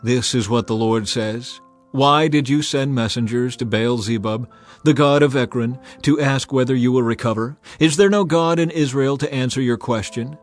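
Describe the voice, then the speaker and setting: A man reading as a stern old prophet who declaims judgment in a raised, solemn voice, pitched at an average height. Soft music sits faintly beneath the words of this dramatized scripture reading. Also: moderate pace, natural voice. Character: stern old male prophet pronouncing doom.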